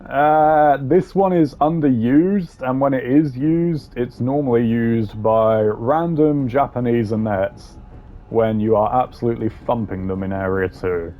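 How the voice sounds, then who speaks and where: A man's voice: mid-range voice, unhurried, muffled recording. A man hosting a gaming video with casual, wry, sardonic commentary and some swearing. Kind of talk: casual tier-list commentary.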